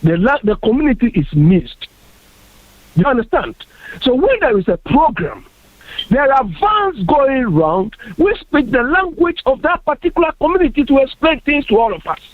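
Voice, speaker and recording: A man's voice: very high voice, very animated, normal speed, no echo. A Ghanaian man making his case on a radio panel discussion, earnest and emphatic, often repeating himself. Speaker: panel guest. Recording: dry tinny phone-like radio sound.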